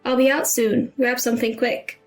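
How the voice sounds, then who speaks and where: A woman's voice: a little high, little pitch movement, moderate pace, soft background music, close to an everyday voice. A woman narrator voicing an offhand adult son who talks in a breezy, demanding way. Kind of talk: dramatised story dialogue.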